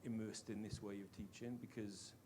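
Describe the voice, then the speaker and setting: A man's voice: on the low side, fairly even intonation, a little mellow, slight background noise. Welsh man asking an earnest question. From Wales. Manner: earnest, halting, many restarts. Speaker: audience member, teacher. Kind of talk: question from the floor at a panel talk.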